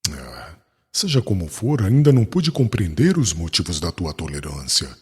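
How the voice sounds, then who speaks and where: An actor playing a bitter, indignant young doctor of religious law in a dramatized audiobook, questioning in formal speech. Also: male voice, deep voice, big pitch swings, moderate pace, darkish tone, slightly rough, big-room sound, heavily acted.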